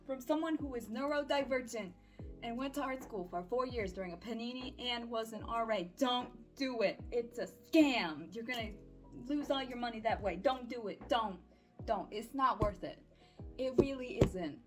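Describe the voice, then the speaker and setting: A woman's voice: a little high, moderate pace, faint music behind. A woman in her early twenties giving a casual personal update in an awkward, self-deprecating, giggly, rambling way. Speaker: audio roleplay voice creator and vlogger.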